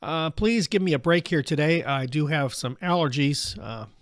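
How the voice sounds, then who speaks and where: A middle-aged American man hosting a political news commentary show, his voice raspy from allergies, talking in a steady, measured way with frequent "uh" fillers. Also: a little high, no room sound, clean studio sound. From United States. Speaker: show host.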